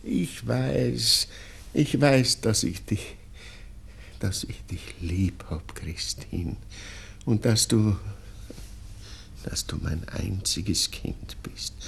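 An actor playing an anxious, doting old father with a Viennese accent in a radio drama, pleading haltingly and repeating himself. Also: fairly low pitch, gravelly, slight background noise, heavily acted. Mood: anxious, tender. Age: older. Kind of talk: 1960s German-language radio drama acting.

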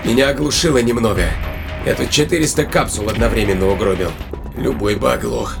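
An actor playing a gruff, weary and irritable male spaceship captain in a science fiction audio drama, with a gravelly voice. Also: medium pitch, steady tone, brisk, over music, natural voice. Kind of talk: dramatized science fiction radio play acting.

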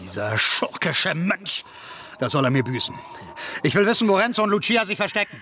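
A man's voice: high voice, very animated, brisk, sharp tone, slight background noise, exaggerated voice. Sneering, vengeful nobleman villain. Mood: angry, demanding. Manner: sneering, commanding. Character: arrogant, vengeful nobleman villain, scheming and contemptuous. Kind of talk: radio drama acting.

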